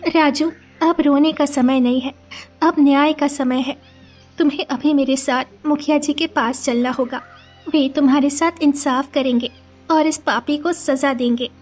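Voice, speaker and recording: Casting: woman for high-pitched young cartoon village woman, loyal, resolute, melodramatic. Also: fairly even intonation, unhurried, soft background music. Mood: urging, supportive. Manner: earnest, determined, emphatic. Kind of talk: melodramatic cartoon moral-story acting.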